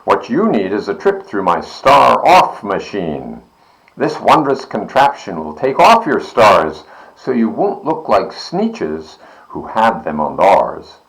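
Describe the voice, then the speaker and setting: A man's voice: lowish voice, wildly swooping pitch, slow, a bit bright, heavily acted, rough recording. A man voicing a slick, cheerful swindling travelling salesman with emphatic showman patter, from a children's storybook. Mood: sly, persuasive.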